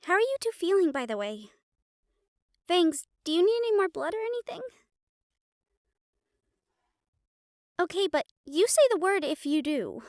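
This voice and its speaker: A bubbly young royal in a fantasy audio drama, acted by a woman, with a very high, swooping voice. She is perky, girlish and a little scatterbrained. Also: big pitch swings, fairly quick, darkish tone, no echo, studio quality, full character voice. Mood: caring, curious. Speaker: character in fantasy audio roleplay. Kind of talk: dramatic audio roleplay acting.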